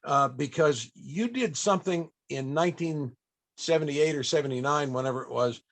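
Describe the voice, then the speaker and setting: An older man hosting an interview, chatty and a bit halting, with frequent chuckles. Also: on the high side. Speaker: panel host.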